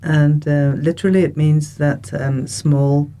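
A woman from Ireland, calmly explaining her views as a panel guest. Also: low voice, a little slow. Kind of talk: conversational discussion.

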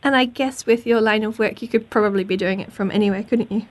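A woman's voice: medium pitch, quick pace. A woman, hosting a community radio interview with friendly, plain questions and light humour. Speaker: radio host.

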